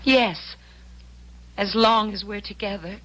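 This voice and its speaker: A woman's voice: medium pitch, slow pace, a bit bright, rough recording. An actress playing an earnest, anxious but loyal young woman traveling through time, in old-time radio drama style. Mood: grave, resolved.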